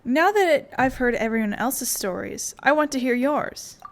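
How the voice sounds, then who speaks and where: A woman's voice: high-pitched, lively intonation, a little slow. A woman playing a video game, reading dialogue aloud with casual asides.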